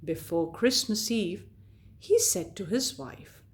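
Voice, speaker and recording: A woman storyteller reading a scripted fairy tale to children in a deliberate, expressive way. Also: medium pitch. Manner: deliberate, expressive read-aloud delivery addressed to children.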